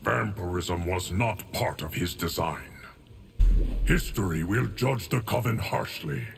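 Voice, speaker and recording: Deep, solemn ageless guardian. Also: male voice, expressive, soft background music, exaggerated voice. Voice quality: gravelly. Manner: formal, measured, grave. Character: solemn, stoic ageless male guardian of time. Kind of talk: video game voice acting.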